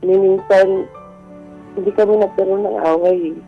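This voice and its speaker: A woman's voice: medium pitch, steady tone, normal speed, dull audio, soft background music. A woman calling a radio show, talking casually and confessionally in an earnest, hesitant way. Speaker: radio show caller sharing a secret.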